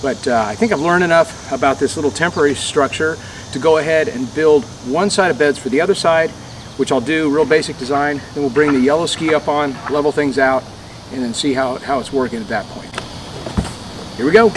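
A man's voice: a little high, brisk, low-quality recording. A middle-aged American man explaining a DIY project casually to a camera outdoors. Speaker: DIY vlogger. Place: outdoors on a residential waterside dock. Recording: outdoor ambient background noise.

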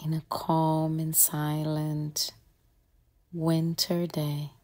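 Very slow, low-voiced woman guiding meditation. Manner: calm, gentle, with long pauses between short phrases. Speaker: meditation guide. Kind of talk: guided meditation, soft and soothing instruction.

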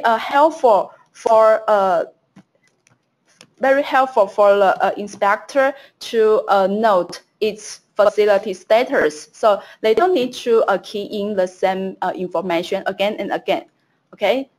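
Hesitant woman demoing software. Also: medium pitch. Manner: hesitant, many fillers and restarts. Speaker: product demo presenter. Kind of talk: live software demo on a webinar.

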